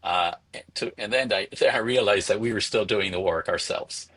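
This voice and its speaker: A middle-aged man from Venezuela answering interview questions conversationally, in a halting way, repeating words as he thinks. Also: fairly low pitch, fairly bright tone, husky. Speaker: interview guest, spirits entrepreneur.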